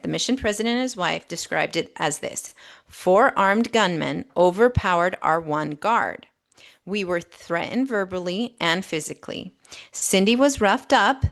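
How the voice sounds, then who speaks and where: Woman, podcast co-host, low creaky voice, methodical, emphatic, explanatory delivery. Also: fairly bright tone. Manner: methodical, emphatic, checks in with "okay?". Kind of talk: explanatory podcast talk, reading out reports.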